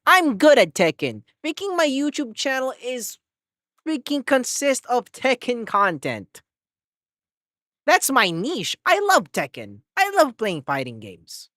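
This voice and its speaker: Excitable man rambling on a livestream. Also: very high voice, a little slow, dry recording, studio quality. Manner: excitable, rambling, many restarts and "freaking". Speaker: live streamer. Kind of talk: casual chat to a live audience.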